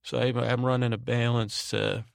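Male sleep podcast host, mid-pitched with heavy vocal fry, very dry sound. Halting, repetitive, meandering bedtime rambling. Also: little pitch movement, dry recording. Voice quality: soothing, soft-spoken drone. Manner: meandering, halting, repeats and trails off. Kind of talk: rambling bedtime monologue meant to lull.

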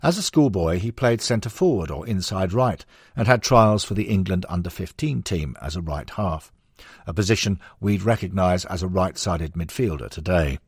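A man's voice: deep voice, lively intonation, moderate pace, breathy edge, no room sound, studio quality. A man narrating a non-fiction sports history book in a scripted audiobook reading.

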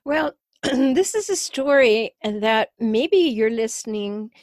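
Female podcast host, slightly high voice that is giving out, deliberate advisory delivery, dry recording. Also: animated, darkish tone, no room sound. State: losing her voice, frequently clearing her throat. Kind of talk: reflective advisory talk to listeners.

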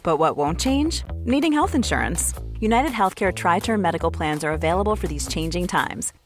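A woman's voice: on the low side, lively intonation, fairly quick. A woman giving a scripted advertisement read. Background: music.

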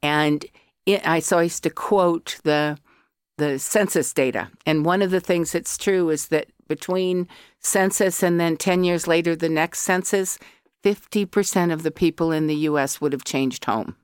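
A woman's voice: lowish voice, normal speed, smooth, no room sound, clean studio sound. A middle-aged American woman from Colorado, an artist and academic, chatting in a podcast interview and drifting into opinionated tangents.

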